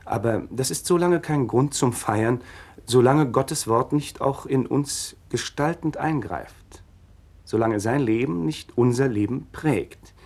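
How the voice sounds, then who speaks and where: An actor playing a learned religion teacher in a radio play, explaining things patiently in a measured, didactic manner. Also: male voice, medium pitch, vocal fry, darkish tone, husky, heavily acted. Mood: earnest, solemn.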